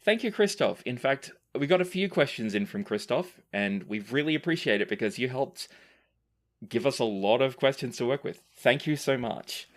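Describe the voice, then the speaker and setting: A woman's voice: extremely low pitch, lively intonation, moderate pace. A woman hosting and moderating a casual podcast question session as its game master.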